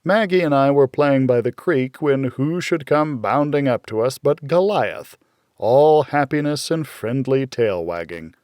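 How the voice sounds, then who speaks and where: Slow, deliberate male audiobook narrator. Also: medium pitch, expressive, a little mellow, no room sound, clean studio sound. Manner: measured, deliberate, dramatic storytelling. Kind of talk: scripted first-person fiction narration.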